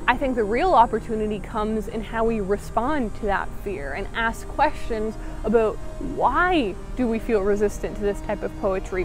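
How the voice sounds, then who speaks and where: A young woman in her twenties narrating a documentary to camera outdoors in an earnest, measured way, on a lapel mic. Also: mid-range voice. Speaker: documentary maker and interviewer. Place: outdoors by a stone wall. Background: background music.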